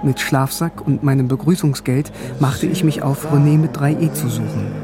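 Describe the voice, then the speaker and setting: A man's voice: mid-range voice, moderate pace, over music, close to an everyday voice. An actor playing an East German academic who narrates his own story in a radio drama, wry, sober and matter-of-fact.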